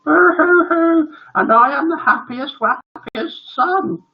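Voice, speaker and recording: A man's voice: high-pitched, lively intonation, a little slow, dull audio, voice pushed up. A man voicing a spoilt, gushing little boy who talks in sickly-sweet baby talk for a children's story. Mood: weepy.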